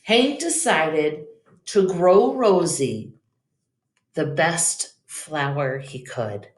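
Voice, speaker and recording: A woman's voice: low voice, expressive, very slow. A woman reads a storybook aloud for children in an echoey room. She takes her time, narrating expressively like a teacher in front of young listeners. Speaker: teacher reading a picture book aloud.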